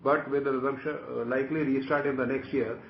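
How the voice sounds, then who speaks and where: A man with an Indian accent, a company executive explaining things haltingly on an earnings call. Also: mid-range voice, fairly even intonation. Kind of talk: answering analyst questions on an earnings call. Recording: muffled, echoey conference call with noise.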